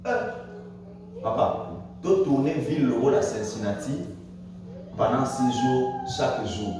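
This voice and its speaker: A man's voice: lively intonation, a little slow. Man preaching with big swings in an echoey church. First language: French. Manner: preaching with dramatic swings in pitch and loudness, frequent hallelujahs. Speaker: pastor. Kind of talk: sermon. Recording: echoey church hall, distant mic, faint noise.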